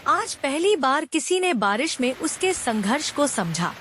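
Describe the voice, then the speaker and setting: A woman narrating a cartoon moral story in scripted, expressive storybook narration. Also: high-pitched, measured pace, slight background noise.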